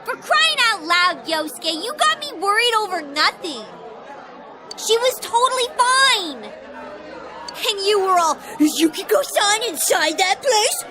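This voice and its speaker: An American woman voicing a feisty, excitable teenage schoolgirl in a video game, speaking in an emphatic, informal way. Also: extremely high pitch, a little slow, exaggerated voice. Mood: relieved, exasperated. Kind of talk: English video game voice acting. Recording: background music and crowd chatter behind.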